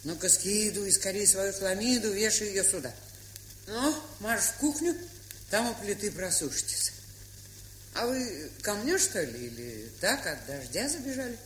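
An actress performs an old provincial aunt in an audio drama, scolding and fussing with folksy affection. Her pitch is very lively and moves widely. A faint hiss and crackle of a vintage record sits behind her. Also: normal speed, natural voice. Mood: bustling, welcoming. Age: older. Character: warm, bossy, chatty old provincial spinster aunt. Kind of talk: dramatised short story, stage-style audio acting.

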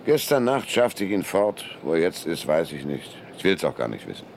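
A man's voice: deep voice, big pitch swings, normal speed, vocal fry, slight background noise, full character voice. A man playing a weary, cynical private detective in a radio drama, narrating and talking in a laconic, dry, world-weary way. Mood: dry, defiant. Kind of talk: first-person noir narration and dialogue in radio drama. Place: dry studio.

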